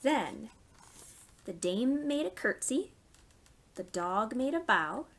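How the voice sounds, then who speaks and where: A woman hosting a storytime for young children, reading stories and giving simple, clearly phrased instructions. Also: fairly high pitch, very animated, slow. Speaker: children's library storytime host.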